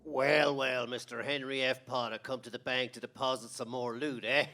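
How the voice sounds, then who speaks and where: A man's voice: medium pitch, flat delivery, normal speed, pushed and bright, soft background music, natural voice. A man playing a folksy, flustered, scatterbrained old uncle in an old-time radio play. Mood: gleeful, teasing.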